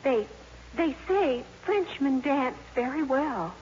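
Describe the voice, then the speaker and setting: High-voiced dramatic teenage girl, old radio. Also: expressive, takes it slowly, a little mellow. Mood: coy, bluffing. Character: teenage high school girl, earnest, dramatic, easily wounded. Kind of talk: 1950s radio comedy acting. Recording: muffled, noisy old radio broadcast.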